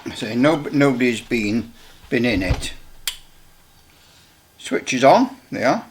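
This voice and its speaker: Mature male hobbyist presenter, medium pitch, casual and rambling, stop-start delivery with repeated words. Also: lively intonation, slight background noise. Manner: halting, rambling, repeats himself. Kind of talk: casual hands-on equipment demonstration.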